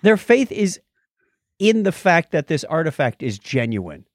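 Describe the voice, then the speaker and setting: A man co-hosting a podcast in casual conversation, animated, often stammering and restarting his phrases. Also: fairly high pitch, unhurried, no echo, studio quality. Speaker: podcast co-host.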